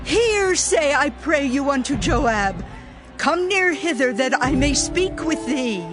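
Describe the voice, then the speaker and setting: A woman's voice: sits high, very animated, takes it slowly, over music, full character voice. An actress playing a wise woman of a besieged city who pleads urgently and boldly. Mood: pleading.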